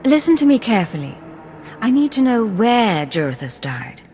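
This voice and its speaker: A woman's voice: a little high, very animated, normal speed, a little mellow, a little hiss or hum. An actress playing a tough, sardonic female secret agent in a video game, with a dry, cool, menacing manner. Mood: cold, threatening. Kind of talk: scripted video game dialogue.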